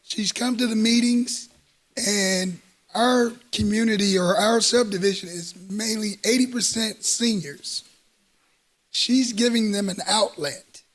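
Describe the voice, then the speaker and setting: A hoarse man, president of a homeowners association, speaking in support at a city council meeting with hesitant pauses. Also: high-pitched. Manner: hesitant, many fillers. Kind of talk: public comment at council meeting. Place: council chamber.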